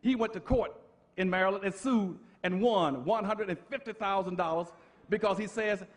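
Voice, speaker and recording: A man's voice: sits high, moderate pace. A man giving an impassioned public lecture, emphatic and preacher-like, firing off rhetorical questions.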